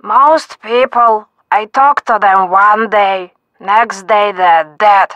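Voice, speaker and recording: A woman playing a blunt, morbid elderly woman in a video game, speaking in a deliberate, matter-of-fact way. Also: medium pitch, fairly bright tone, no room sound, muffled recording. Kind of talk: video game character acting.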